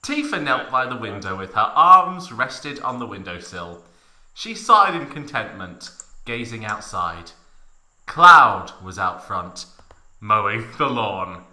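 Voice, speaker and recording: A young man narrates in a mock-dramatic style with exaggerated emphasis. His voice is very bright and forceful, swinging widely in pitch, and it rings in an echoey room. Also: sing-song, takes it slowly. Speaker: podcast narrator. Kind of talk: mock-dramatic comic reading of fan fiction.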